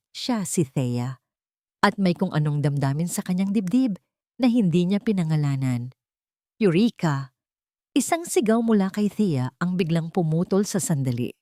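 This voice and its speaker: A woman's voice: fairly low pitch, darkish tone, no room sound, clean studio sound. A woman narrating a scripted audio drama in a dramatic, carefully phrased storytelling manner.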